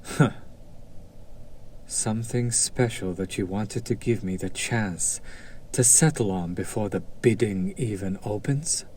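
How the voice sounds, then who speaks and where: Guy doing a snooty sardonic demon buyer for an audio drama, really slow drawl, some background ambience noise. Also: lowish voice, mellow, natural voice. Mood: suspicious, intrigued. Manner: drawling, condescending, theatrically skeptical. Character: haughty, sardonic male demon buyer at an auction. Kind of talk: scripted roleplay audio drama acting.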